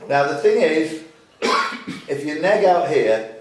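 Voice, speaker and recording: Very echoey, higher-pitched male quiz host. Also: very animated, slow pace, a little mellow, a little hiss or hum. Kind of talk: casual, jokey announcing. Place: club room at a quiz tournament. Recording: very echoey room, distant mic.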